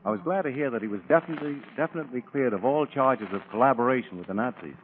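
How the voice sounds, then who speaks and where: Man, radio sidekick, quick speech, medium pitch, scripted 1940s chat, narrow noisy vintage sound. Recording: noisy band-limited 1940s radio recording.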